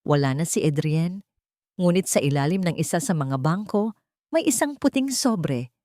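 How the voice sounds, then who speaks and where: Filipino-accented female narrator for romantic drama: lowish register, very lively intonation. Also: moderate pace, dry recording, clean studio sound. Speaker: storyteller. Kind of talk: scripted dramatic narration of a love story.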